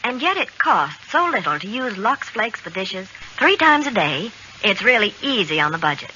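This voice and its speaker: A female spokeswoman chats through scripted 1940s radio ad copy. Her voice is bright and animated, on a muffled old recording. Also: medium pitch, normal speed. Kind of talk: 1940s radio advertising patter. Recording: muffled vintage radio with faint hiss.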